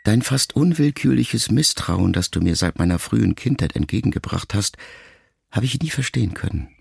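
An actor playing a middle-aged writer son who addresses his mother in a measured, introspective, quietly reproachful radio monologue. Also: on the low side, animated, gravelly, breathy edge, no room sound, full character voice. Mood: pensive, searching. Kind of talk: literary monologue in a radio play.

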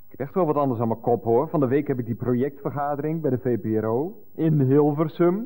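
An actor playing an irritable, evasive and jealous Dutch lover with a Dutch accent, blustering self-importantly in a radio play. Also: male voice, mid-range voice, quick pace, dark tone, long reverb tail, lightly acted. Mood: defensive, pompous.

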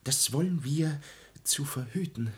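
A gullible small-town poet in a classic comedy radio play. His voice is very husky and swoops eagerly up and down. He is effusive and fawning, prone to repeating himself. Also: on the high side, lively intonation, a little slow, exaggerated voice. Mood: flustered.

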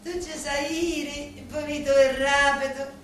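An actress playing a bitter, scheming woman of a mafia family in a stage drama, with a Sicilian accent and a deliberate, theatrical delivery that swells suddenly. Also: sits high, level tone, fairly bright tone. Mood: solemn, insinuating. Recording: very echoey room, faint background noise.

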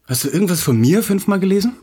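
An actor playing a touchy, self-regarding young playwright in a naturalistic radio play, dry and sardonic. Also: male voice, sits high, animated, fast talker, mellow, breathy edge. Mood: petulant.

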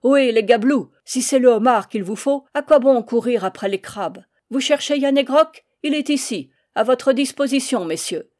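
A woman's voice: fairly high pitch, lively intonation, measured pace, pitched above the performer's own voice. A woman reading aloud, voicing a fierce older male smuggler captain who bellows commanding, defiant orders.

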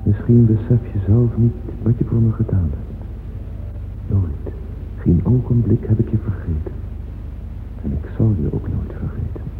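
An actor playing a devoted, tender gentleman composer in an old radio play, solemn and formal in his delivery. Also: fairly low pitch, a little slow, noisy background, natural voice. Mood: tender devotion. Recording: dull vintage radio recording, music behind.

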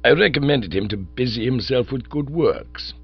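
A man voicing a grave, deferential middle-aged valet who speaks in a formal, measured way. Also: medium pitch, expressive, husky, pitched above the performer's own voice. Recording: dull lo-fi recording with mains hum.

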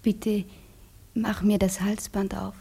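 Breathy, slow-speaking melancholy wife. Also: female voice, medium pitch, little pitch movement, dark tone, heavily acted. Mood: resigned, sad. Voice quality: breathy, often dropping to a whisper. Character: fragile, melancholy exiled wife, tender and fatalistic. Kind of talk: German radio drama acting.

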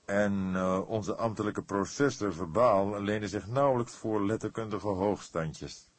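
A man voicing an old, wry, courteous police detective in an audiobook, speaking deliberately and with dry modesty. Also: on the low side, no room sound, pitched above the performer's own voice. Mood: modest, wry. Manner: slow, deliberate, drily modest. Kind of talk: Dutch audiobook character voice.

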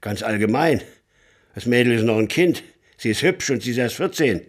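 A man's voice: mid-range voice, expressive, moderate pace, close to an everyday voice. An actor playing a middle-aged butcher and strict father in a German radio play, curt, defensive and evasive. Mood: stern, defensive.

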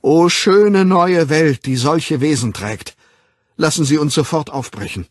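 A man's voice: mid-range voice, sing-song, slow pace, breathy edge, dry recording, voice pushed up, full character voice. A man voicing a naive, dreamy young outsider in an audiobook, declaiming rapturously in old-fashioned words. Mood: fervent joy.

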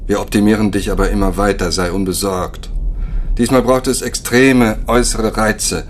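An actor playing a cold, patient overseer scientist of a virtual world in a German radio drama, lecturing in a measured, condescending way. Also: male voice, fairly low pitch, steady tone, slight background noise, close to an everyday voice. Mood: superior, emphatic.

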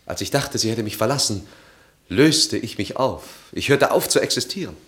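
A man's voice: medium pitch, expressive, normal speed, a bit bright, natural voice. An actor playing a troubled, self-absorbed, proud married man in a German radio play, speaking formally and earnestly. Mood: anguished, subdued.

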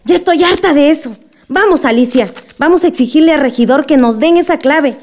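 A woman's voice: sits high, a little fast, a little mellow, slight background noise, close to an everyday voice. A woman playing a determined village councilwoman in a radio drama. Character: fed-up, determined village councilwoman demanding fair treatment.

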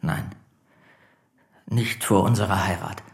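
A man's voice: low voice, slow pace, creaky, full character voice. An actor playing a meek, cornered man of forty in a German radio play, subdued, deferential and hesitant. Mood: quiet, cornered. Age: 40s. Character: meek, cornered forty-year-old male stamp collector.

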